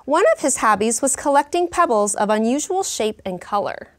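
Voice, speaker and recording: A woman in her thirties, a teacher in a classroom, reading a story aloud with clear, expressive delivery and pausing to explain words. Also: a little high. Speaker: kindergarten teacher. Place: elementary classroom.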